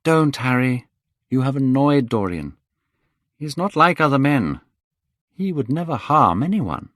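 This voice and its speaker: A man voicing a gentle, earnest painter who speaks in a pleading tone in a storybook reading. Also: mid-range voice, very animated, a little slow, dry recording, studio quality, voice pushed up.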